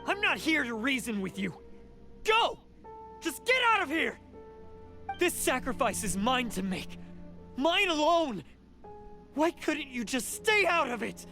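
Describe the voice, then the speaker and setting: A proud young oni warrior from a video game, intense and defiant. His voice is bright and tense, pitched high for a man with lively swings. Faint music plays behind him. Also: measured pace, pauses often, heavily acted. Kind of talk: game cutscene voice acting.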